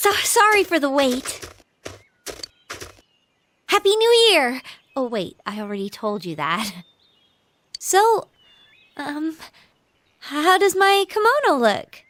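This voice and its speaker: An actress playing a bashful, earnest tomboyish teenage girl in anime game dialogue, halting and self-conscious. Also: high-pitched, very animated, fairly bright tone, a little hiss or hum, heavily acted. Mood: bashful, eager. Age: young adult.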